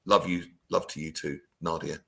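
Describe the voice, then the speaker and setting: A man hosting a talk show, speaking in a gentle, reflective and supportive way. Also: a bass voice, steady tone, normal speed, creaky. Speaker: host. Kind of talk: casual talk show chat.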